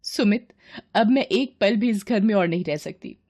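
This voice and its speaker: A woman's voice: medium pitch, expressive, moderate pace, a little mellow. A woman voicing a spiteful, complaining young wife in a melodramatic cartoon story, indignant and insistent. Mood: demanding.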